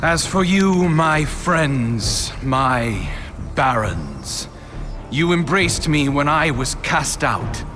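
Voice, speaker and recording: A man's voice: a little high, sing-song, drawn-out words, exaggerated voice. A man voicing a brooding exiled prince turned vengeful villain in a video game, with a grandiose, solemn delivery. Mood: grand, menacing. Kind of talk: dramatic video game acting.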